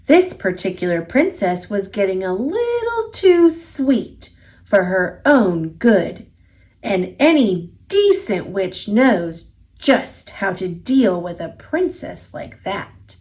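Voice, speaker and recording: A woman reading a children's picture book aloud as a storyteller, expressive and deliberate, drawing out key words. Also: mid-range voice, low-quality recording. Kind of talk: children's story read-aloud, scripted narration.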